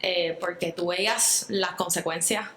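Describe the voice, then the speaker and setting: A woman's voice: fairly low pitch, fairly even intonation, measured pace, fairly bright tone, roomy sound, slight background noise. A Puerto Rican woman speaking earnestly in an interview, reflecting at length with fillers and restarts. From Puerto Rico. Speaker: guest. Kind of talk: interview conversation.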